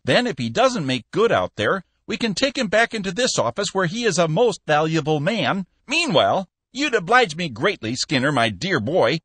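A man reading an audiobook, voicing a shrewd, meddling old shipping boss in a high, purring, amiably sly voice. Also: a bit bright, slightly rough, dry recording, full character voice.

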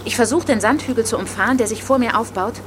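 An actress narrating as a reflective, resolute woman looking back on her childhood in a German radio drama, in measured short phrases. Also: on the high side, noisy background, natural voice. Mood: tense, matter-of-fact. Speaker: narrator of autobiographical monologue. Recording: music behind the voice.

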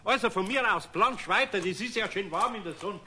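A man's voice: high-pitched, a little fast, bright, forceful tone, slight background noise. An actor playing a kindly, patient older craftsman with a Bavarian accent in a children's radio play, homely and coaxing. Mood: concerned, indulgent. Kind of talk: 1970s German children's radio play acting.

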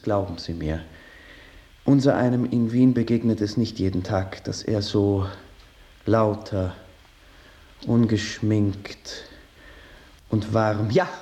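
A man playing a warm, playful eighteenth-century composer in a radio play, speaking in a heartfelt, ornate way. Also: fairly low pitch. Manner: unhurried, heartfelt, ornate speech. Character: Mozart as a warm, effusive, playful Viennese composer. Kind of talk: German radio play acting.

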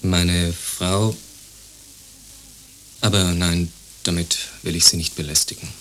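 A deep, slow, gloomy husband. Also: male voice, flat delivery, slight background noise, rough recording. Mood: apologetic. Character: gloomy, henpecked married man, weary of home. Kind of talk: radio play dialogue. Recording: loud music behind the voice.

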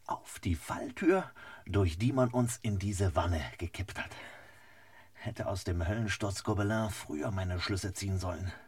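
A man playing an unflappable, superior master detective in a radio crime parody, dry and matter-of-fact with a raspy voice. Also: lowish voice, unhurried, darkish tone, no room sound. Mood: dry, musing.

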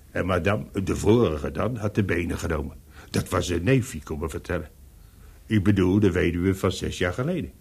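A very deep, husky male hotel servant in a radio play. He is servile and talkative, explaining things hesitantly in a creaky, gravelly voice. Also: exaggerated voice.

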